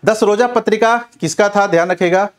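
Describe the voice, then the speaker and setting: Exam coach: male, slightly high-pitched, instructive lecture delivery, posing questions and answering them, with frequent reminders. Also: brisk. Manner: instructive, repeats check phrases like a classroom teacher.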